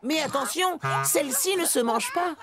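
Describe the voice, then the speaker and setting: A woman's voice: sits high, moderate pace, loud music behind the voice. A woman voicing a curious, cheerful young male penguin in a French children's cartoon, telling his adventures playfully. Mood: cheerful, explaining. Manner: expressive, playful storytelling.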